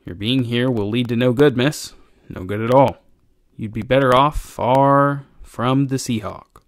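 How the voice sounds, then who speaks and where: A man's voice: medium pitch, a little slow, no echo, natural voice. Guy doing a timid old sailor for an audiobook, humble n murmury, dry sound. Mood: hangdog, warning. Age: older. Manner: deferential, hesitant, murmuring. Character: decrepit old sailor, cringing, humble, dutiful. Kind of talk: audiobook character voice.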